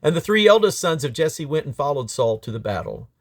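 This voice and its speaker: A man's voice: on the high side, big pitch swings, fairly quick, dry recording, clean studio sound. A man reading scripture aloud in a declamatory way, in short phrases with occasional small stumbles. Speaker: Bible reader. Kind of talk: scripture read aloud from the King James Bible.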